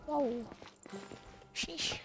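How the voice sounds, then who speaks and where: A woman doing casual gameplay commentary, muttering to herself and to the game as she plays. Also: extremely slow. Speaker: gamer recording her playthrough. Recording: loud game music over the voice. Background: loud video game music and effects.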